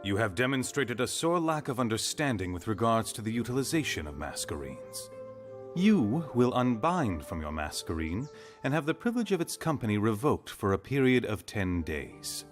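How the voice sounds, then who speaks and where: An actor playing a stern, weary military commander in a video game, speaking in a formal, commanding, clipped way. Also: male voice, medium pitch, sing-song, normal speed, lightly acted. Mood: stern, angry. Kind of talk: scripted video game acting. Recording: game music behind the voice. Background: music.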